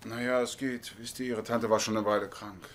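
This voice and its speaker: A man's voice: lowish voice, level tone, fairly quick, a little hiss or hum, lightly acted. A man playing a practical, mildly exasperated family man and best friend in a German-dubbed sitcom. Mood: matter-of-fact.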